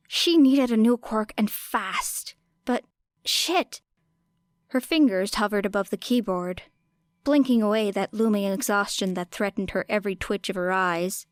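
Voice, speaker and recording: A woman reading fiction aloud as an audiobook narrator, with an expressive, wry and dramatic delivery. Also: medium pitch, dry recording, clean studio sound.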